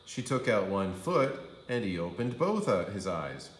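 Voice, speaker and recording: Animated low-voiced man reading a storybook. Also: measured pace, echoey room. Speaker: kindergarten teacher reading aloud. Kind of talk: animated storybook narration for young children.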